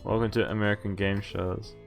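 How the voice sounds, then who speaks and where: A man's voice: on the low side, level tone, fairly quick, vocal fry. A man streaming a game, reading its dialogue aloud and adding informal asides of his own. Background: game music.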